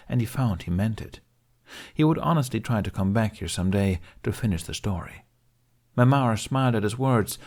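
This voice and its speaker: A man with a lowish, creaky voice reads scripted fiction. He narrates in the manner of an audiobook. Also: a little mellow.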